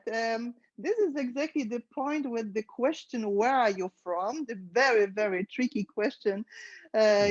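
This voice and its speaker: A woman's voice: medium pitch, a little mellow, no room sound. Enthusiastic woman host, muffled call audio. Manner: enthusiastic, deliberate introductions. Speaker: podcast host. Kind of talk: hosting an interview.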